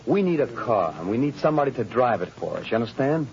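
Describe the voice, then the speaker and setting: An actor playing a tough, scheming gangster who talks in clipped slang in a 1930s radio drama. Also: male voice, mid-range voice, sing-song, fast, gravelly, a little mellow. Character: cold, scheming male gangster. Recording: muffled, noisy 1930s radio recording.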